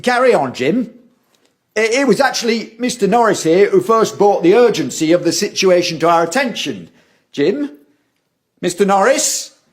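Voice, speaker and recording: An actor playing an officious, condescending council official with a formal manner in an audio drama. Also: male voice, high voice, expressive, normal speed. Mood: brisk, prompting. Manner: formal, pompous, stammers when challenged. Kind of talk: stage-like audio drama acting.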